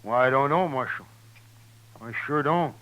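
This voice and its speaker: An American actor playing a plain-spoken, resentful buffalo skinner in a 1950s radio western, talking in a rustic, grudging way. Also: male voice, medium pitch, moderate pace, pushed and bright, natural voice, low-quality recording. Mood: indignant, vengeful. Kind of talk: 1950s radio drama acting.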